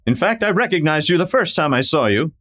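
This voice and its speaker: Radio western hero played by a man: upright masked lawman, highish voice, very expressive, stern declarations. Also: brisk. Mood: stern accusing. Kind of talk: old-time western radio acting.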